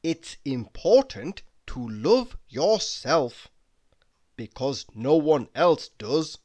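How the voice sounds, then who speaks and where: A reader delivers tongue-in-cheek motivational quotes at an extremely slow pace. The delivery is dramatic and deliberate, with lively swings in pitch and clear pauses between phrases. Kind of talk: reading humorous motivational quotes aloud.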